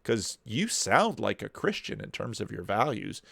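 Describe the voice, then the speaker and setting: A man commenting on a video clip, talking in animated rhetorical questions with slow, deliberate emphasis. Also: lowish voice, gravelly, husky, dry recording.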